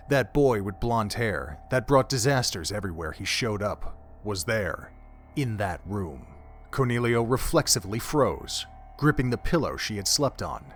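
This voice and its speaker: A man giving scripted story narration for an audio drama in a measured, even way. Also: lowish voice.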